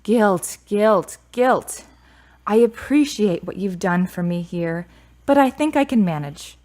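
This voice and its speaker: A woman's voice: medium pitch, expressive, takes it slowly, a little mellow. A woman playing a grieving, independent and prickly adult daughter in a radio drama, speaking in a curt, guarded way. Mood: wry, affectionate.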